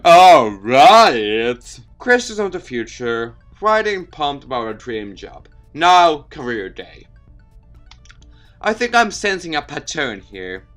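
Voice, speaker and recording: A man's voice: fairly high pitch, sing-song, slow, fairly bright tone, soft background music, full character voice. A man voicing a lazy, sardonic teenage schoolboy, the dry and sarcastic protagonist of a visual novel game. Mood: dry, unimpressed.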